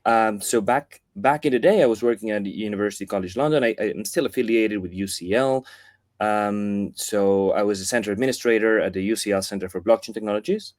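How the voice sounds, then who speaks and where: Argentine-accented man, low voice, dry sound. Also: a little fast, a little mellow, no echo. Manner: thoughtful, with frequent restarts and fillers. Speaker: guest, foundation chief of staff. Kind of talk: casual interview talk.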